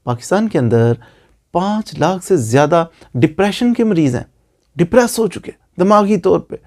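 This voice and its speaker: Man, Pakistani accent, gravelly creak, somewhat raised pitch, informal lecture, rhetorical questions, repeated key phrases. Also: darkish tone. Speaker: lawyer giving legal advice. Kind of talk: informal explanatory lecture with moral exhortation.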